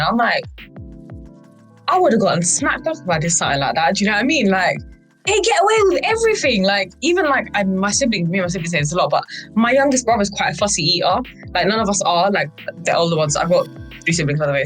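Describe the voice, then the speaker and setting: A woman's voice: medium pitch, low-quality recording. A young British woman telling personal anecdotes in a casual, chatty way, peppered with "like" and "right?". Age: young adult. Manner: animated, quotes people in acted voices, frequent "like" and "right?". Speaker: youth board podcast speaker.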